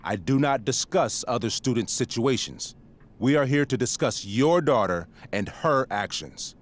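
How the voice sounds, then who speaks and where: A man's voice: mid-range voice, lively intonation, unhurried. A man playing a stern, middle-aged school principal in a video game, speaking in a formal, verbose and officious way. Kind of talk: dramatic video game acting.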